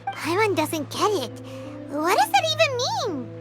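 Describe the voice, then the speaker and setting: Game casting: a tiny, naive fairy sidekick, extremely high and wildly animated, music behind. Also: female voice, measured pace, heavily acted. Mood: puzzled. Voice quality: squeaky, childlike. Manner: refers to self in third person, exclaiming. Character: small childlike floating fairy companion, excitable, naive. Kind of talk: video game voice acting.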